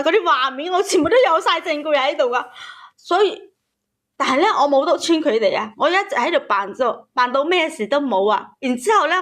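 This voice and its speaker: Female caller, Cantonese accent, very high voice, emotional first-person storytelling with emphatic repetition. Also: fairly bright tone. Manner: agitated, emphatic, repeats phrases. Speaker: caller telling her own story.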